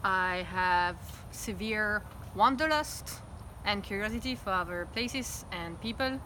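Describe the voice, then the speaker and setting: A woman's voice: medium pitch, animated, slow, pushed and bright. Reflective woman outdoors. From Belgium. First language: French. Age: 30s. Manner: reflective, open and talkative. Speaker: interviewee. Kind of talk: candid personal interview. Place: outdoors in front of her house. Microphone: phone camera. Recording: outdoor phone recording, traffic noise.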